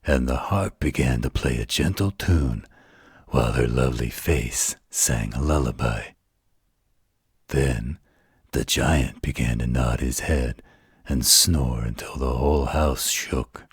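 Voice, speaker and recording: An extremely low-voiced, gentle werewolf, acted by a man, tells a bedtime story at an unhurried pace. The voice is very raspy and soothing, protective and tender, as in an intimate roleplay audio. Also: no echo, studio quality, heavily acted. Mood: soothing narrating. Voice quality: gravelly, husky.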